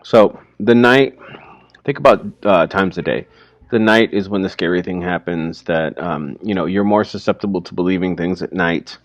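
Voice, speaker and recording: A man's voice: low voice, moderate pace, no echo. A man giving casual, explanatory commentary on a story, like a teacher.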